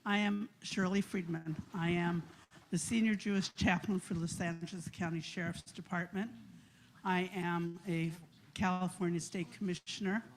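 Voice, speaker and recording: A woman's voice: lowish voice, fairly even intonation, normal speed, slight background noise, poor audio. Insistent woman pressing a question from the floor. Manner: forceful, insistent, repeating her point. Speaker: chaplain and audience questioner. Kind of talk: pointed question from the floor at a public forum.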